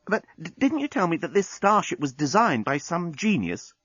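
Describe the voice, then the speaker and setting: A man voicing a brisk, clever woman who explains things in a matter-of-fact way. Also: on the high side, sing-song, fairly bright tone, dry recording, dull audio, voice pushed up. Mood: decisive.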